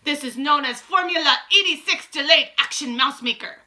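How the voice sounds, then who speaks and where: A woman's voice: sits high, normal speed, sharp tone, pitched above the performer's own voice. A woman voicing an imperious, cruel grand witch in a storybook, commanding her followers theatrically with stilted grammar. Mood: gloating, commanding. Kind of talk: dramatised storybook reading.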